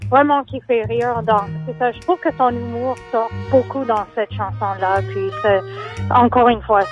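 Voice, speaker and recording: A singer whose first language is English speaks French, hesitant and full of fillers. Her voice sits fairly high. She comes through a tinny phone line. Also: steady tone, a little slow, faint music behind. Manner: hesitant, many fillers and restarts. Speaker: singer guest. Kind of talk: phone interview.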